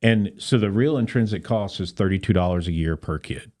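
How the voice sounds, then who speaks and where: A man speaking as a guest in a conversational interview, explaining things in an earnest, measured way. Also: deep voice, a little mellow. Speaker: podcast guest, Rotary club volunteer.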